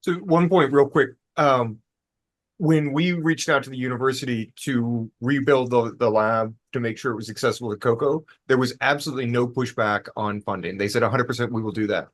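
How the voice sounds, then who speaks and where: A man's voice: mid-range voice, steady tone, fairly quick. A man on a panel, a faculty member, speaking frankly and matter-of-factly. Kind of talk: panel discussion remarks.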